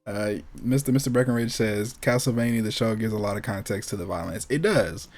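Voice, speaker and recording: A man's voice: fairly low pitch, brisk, darkish tone. Emphatic, slangy man hosting casually. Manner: emphatic, slangy, profane, opinionated. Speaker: host of a gaming talk show. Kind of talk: casual chat about video game movies and anime.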